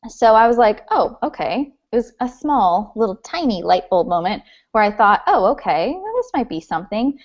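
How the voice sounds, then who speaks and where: A woman's voice: a little high, big pitch swings, moderate pace, hardly any pauses. Chatty woman in her late twenties, lo-fi call. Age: 20s. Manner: friendly, chatty storytelling. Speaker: guest speaker, direct sales leader. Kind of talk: informal training talk. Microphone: video call.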